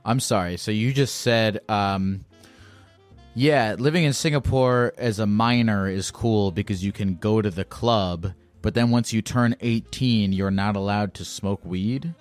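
A podcast host with a fairly low voice, blunt and teasing, who swears freely and stumbles through fillers as he chats with a caller. Soft music plays faintly underneath. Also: measured pace, dry recording. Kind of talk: casual chat with a caller.

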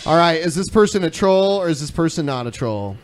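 A man's voice: a little high, animated, a little fast, over loud music. A man hosting a podcast, moving the segments along in casual chat, with noise behind him. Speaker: podcast host moderating segments.

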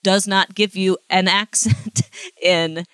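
A woman's voice: mid-range voice, steady tone, slow, fairly bright tone, smooth, no echo. A woman co-hosting a podcast in casual comedic chat, rambling and often correcting herself. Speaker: podcast co-host.

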